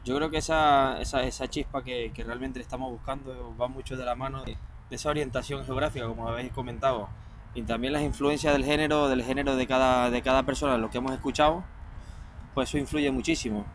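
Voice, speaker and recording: A man's voice: medium pitch, steady tone, quick pace. A man from Portugal, drummer in a band, answering interview questions hesitantly, often repeating words.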